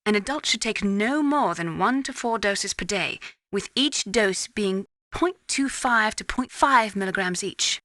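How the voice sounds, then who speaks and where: A woman's voice: mid-range voice, animated, unhurried, fairly bright tone, no room sound. A woman playing a poised, clinical female scientist advising a soldier in a video game, speaking in a precise, explanatory way. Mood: clinical, measured. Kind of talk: video game radio briefing acting.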